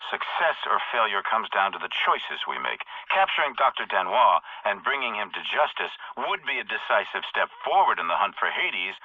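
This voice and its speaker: An actor playing a ruthless, calculating head of a private military company, recording a commanding, measured monologue for a game. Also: male voice, mid-range voice, no room sound. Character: ruthless, calculating older male military CEO. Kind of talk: recorded audio log monologue. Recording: dry, phone-like narrow audio.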